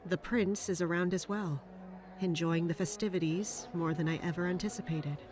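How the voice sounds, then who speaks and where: A woman's voice: on the low side, steady tone, moderate pace, a little mellow, soft background music, lightly acted. A woman playing a stern, vigilant young guard in an audio drama, serious and matter-of-fact. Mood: watchful. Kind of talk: audio drama narration and dialogue.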